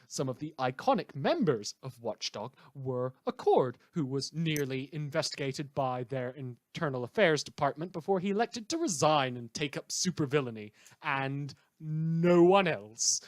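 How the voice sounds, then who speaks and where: A man's voice: medium pitch, dry recording, close to an everyday voice. A man playing a sarcastic, indignant radio host in a superhero audio drama, delivering a satirical monologue with theatrical emphasis. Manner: sardonic, theatrical emphasis, mock-hesitant asides.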